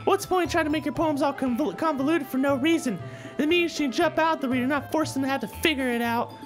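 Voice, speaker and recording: A high-voiced, combative anime schoolgirl acted out by a man from a visual novel. She is blunt, indignant and quick to accuse, with music playing behind her. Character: bratty, combative anime schoolgirl with a short temper. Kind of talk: visual novel character read-aloud.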